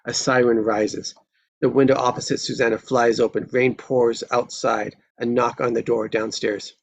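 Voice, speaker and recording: A man reading screenplay stage directions as dramatic narration, in terse, deliberate phrases. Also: mid-range voice, little pitch movement, gravelly, muffled recording, slight background noise.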